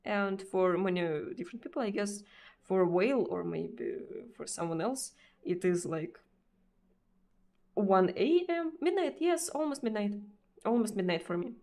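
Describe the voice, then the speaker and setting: Russian lady streamer chatting slow in english, hesitant, repeats herself, mid pitch. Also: animated, a little mellow. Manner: hesitant, many fillers and repeats. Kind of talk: casual chat answering viewers.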